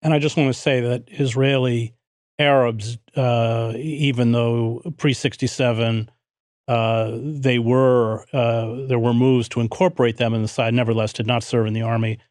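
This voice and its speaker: A man's voice: medium pitch, little pitch movement, normal speed, darkish tone, dry recording, clean studio sound. A man interviewing a guest, asking long, hesitant questions.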